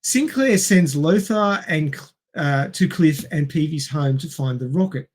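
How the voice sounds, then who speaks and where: Casting: male film podcast co-host, voice a little high, recapping and chatting with a wry, dry wit. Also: animated, measured pace, darkish tone. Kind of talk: reading a film plot summary with wry asides and casual chat.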